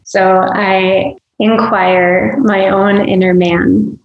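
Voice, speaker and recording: A woman's voice: medium pitch, little pitch movement, very slow, creaky, roomy sound, muffled recording. A woman from Michigan, a guest on a podcast interview, speaking in a thoughtful way and searching for words. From Michigan, USA.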